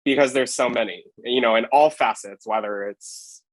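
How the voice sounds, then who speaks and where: A man's voice: medium pitch, normal speed, no room sound, low-quality recording. A man from Hawaii, a street photographer, chatting as a podcast guest, rambling with lots of fillers. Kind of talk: casual podcast chat.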